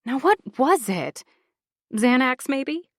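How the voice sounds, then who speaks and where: A woman putting on a slow, taunting villainess. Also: high-pitched, sing-song, no echo, clean studio sound, pitched above the performer's own voice, full character voice. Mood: breezy. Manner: taunting, drawn-out, sing-song. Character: coolly menacing woman who drugs people, mocking.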